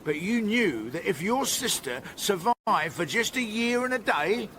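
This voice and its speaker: An actor playing a gruff, sardonic older chief inspector with a British accent in a radio drama, talking in a blunt, patronising way. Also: male voice, high-pitched, lively intonation, unhurried, sharp tone, slight background noise, exaggerated voice. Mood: accusing.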